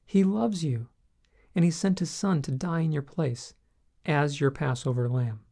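A man reading a scripted devotional teaching aloud, with measured, deliberate phrasing and a solemn, earnest manner. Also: fairly high pitch, vocal fry, dark tone. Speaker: Bible teacher reading own article.

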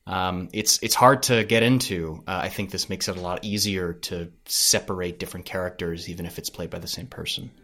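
A man's voice: low voice, steady tone, fairly quick, few pauses. A man talking casually as a podcast creator being interviewed about his work.

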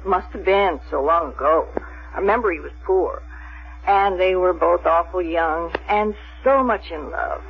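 A woman's voice: on the low side, measured pace, slightly rough, exaggerated voice. An actress playing a plain, middle-aged small-town woman, hesitant and wistful as she reminisces in a 1970s radio drama. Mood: nostalgic. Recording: dull vintage 1970s radio recording.

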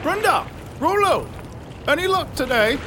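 A nervy dockhand, really high pitched voice, confrontational, loud water and boat noise behind him. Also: male voice, big pitch swings, measured pace, exaggerated voice. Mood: hailing. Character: male small-town dock worker, anxious and confrontational. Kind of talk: audio drama acting. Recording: noisy outdoor harbour sound effects. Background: water and boat ambience.

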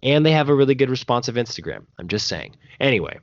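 A young American man hosts a casual podcast with lively swings in pitch. He talks in playful slang and jokey asides. The sound is close and dry, a little dull on top. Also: fast, no room sound. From Arizona, United States. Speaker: podcast host.

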